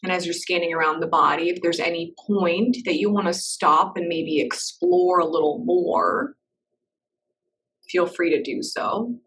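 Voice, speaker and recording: Meditation guide, woman, lowish voice, soft and unhurried, recorded a little off-mic. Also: far from the mic, low-quality recording. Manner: soft, slow and reassuring, with gentle pauses.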